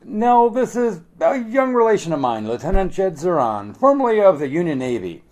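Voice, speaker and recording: A man voicing a genial, flustered gentleman who stumbles politely through his words, in a novel reading. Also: sits high, sing-song, normal speed, pitched above the performer's own voice. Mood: flustered politeness. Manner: hesitant, stumbling.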